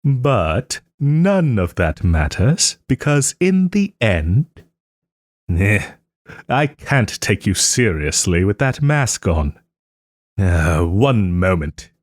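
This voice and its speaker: A man playing a proud, sarcastic nobleman in a scripted roleplay audio drama, with haughty, theatrical phrasing and pointed pauses. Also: fairly low pitch, sing-song, no room sound, studio quality, heavily acted. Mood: sardonic, aggrieved. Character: wry, wounded young nobleman lord, sarcastic and proud.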